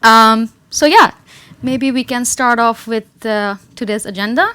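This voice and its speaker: Young woman with a smooth voice presenting. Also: a little high, measured pace, bright, forceful tone. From Bangladesh. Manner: adds tag "right?" often. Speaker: data analyst and engineer, speaker. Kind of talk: presentation talk.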